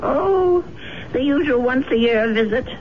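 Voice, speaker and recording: A woman's voice: high-pitched, lively intonation, takes it slowly. An actress playing a kindly, fretful elderly woman who chatters and dithers in a 1970s radio drama. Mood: chatty, genial. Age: older. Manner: chatty, dithering, repeats herself when flustered. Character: kindly, fretful elderly widow living alone. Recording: dull, very noisy old radio recording.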